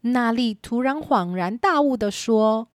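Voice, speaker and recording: Woman narrating a kids storybook, highish voice, really animated, dry close sound. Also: a little slow, no echo. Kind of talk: scripted children's story narration.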